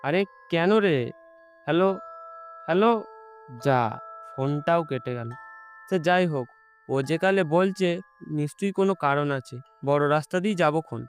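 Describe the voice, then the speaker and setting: A man playing an ordinary, impulsive young man in an animated horror story, conversational and often repeating his words. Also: fairly high pitch, normal speed, long pauses, faint music behind, natural voice. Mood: musing, hesitant.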